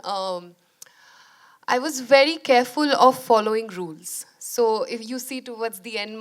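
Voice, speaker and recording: A young woman with an Indian accent, a native Bengali speaker, answering audience questions as a filmmaker in a thoughtful, halting way with many restarts. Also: mid-range voice, smooth. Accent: Indian English. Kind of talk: post-screening Q&A.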